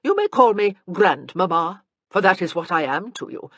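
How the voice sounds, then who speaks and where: A woman's voice: fairly low pitch, very animated, normal speed, dry recording. A woman voicing a blunt, commanding elderly aristocratic grandmother in an audiobook. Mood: imperious. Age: older. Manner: imperious, cutting.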